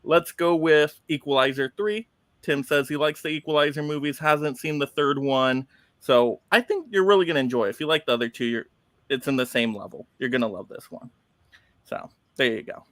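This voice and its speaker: A man's voice: medium pitch, fairly quick, a bit bright, no echo, clean studio sound. A man hosting a casual online chat with fellow creators, chatty and promoting his channel. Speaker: host.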